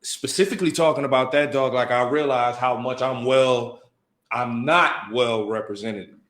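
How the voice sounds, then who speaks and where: A man's voice: mid-range voice, moderate pace, a bit bright. An American man talking casually on a podcast in a laid-back, slangy way, full of fillers. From United States. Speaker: podcast guest and DJ. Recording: roomy echoey podcast audio.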